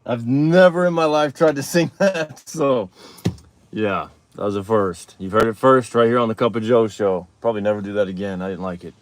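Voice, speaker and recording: A man's voice: lowish voice, moderate pace. A man, chatting casually as host of his own livestream, rambling and reading viewer questions aloud. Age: 30s.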